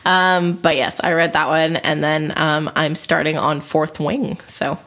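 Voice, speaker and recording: A woman's voice: low-pitched, steady tone, a little slow, fairly bright tone. A woman co-hosting a podcast, talking casually and bluntly about books. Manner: blunt, informal. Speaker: podcast co-host. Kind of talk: casual book discussion chat.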